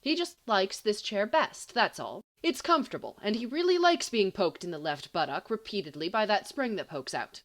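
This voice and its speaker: A woman reading a story aloud as an amateur audiobook narrator, in an expressive, dramatic and wry manner. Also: medium pitch, moderate pace, few pauses. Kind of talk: expressive scripted fiction narration.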